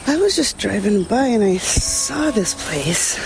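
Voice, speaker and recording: A woman playing a quiet, lonely middle-aged churchgoer who recounts her past haltingly and plainly, as in a documentary interview. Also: mid-range voice, very animated, gravelly, over music, rough recording. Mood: wistful. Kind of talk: audio drama mock-documentary interview.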